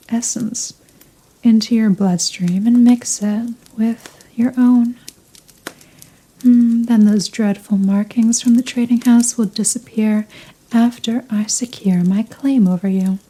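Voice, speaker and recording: Slow, dark-voiced seductive vampire played by a woman. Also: a little high, steady tone, poor audio. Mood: seductive, commanding. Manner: slow, teasing, formal, softly commanding, breathy murmurs. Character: seductive, possessive female vampire, wealthy and dominant. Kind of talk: ASMR roleplay, scripted intimate monologue.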